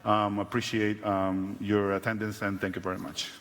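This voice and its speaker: A lower-pitched man speaks from a conference panel, stumbling and doubling back on his words. The hall adds a long reverb to his voice. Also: level tone, very noisy. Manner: halting, repeating words as he restarts. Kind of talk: spoken conference answer.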